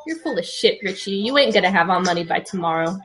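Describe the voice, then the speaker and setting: A woman's voice: on the low side, expressive, fast, fairly bright tone. A woman voicing a menacing, sneering debt collector in a dramatic story reading. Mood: contemptuous. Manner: pushy, sneering, threatening. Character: menacing, nagging male New York debt collector.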